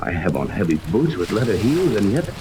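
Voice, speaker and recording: An actor playing a commanding, level-headed yacht captain in a 1930s radio serial, speaking with deliberate authority. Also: male voice, lowish voice, wildly swooping pitch. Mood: grave, deliberate. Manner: authoritative, deliberate, gives orders. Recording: noisy 1930s radio, rain ambience over it.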